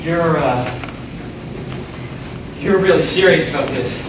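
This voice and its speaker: An uneasy teenage boy played by a man talks urgently in a high voice. It is stage acting, caught in a very echoey space with clear noise behind. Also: slow, recorded from a distance. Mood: uneasy, incredulous. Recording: echoey stage recorded from afar, noisy.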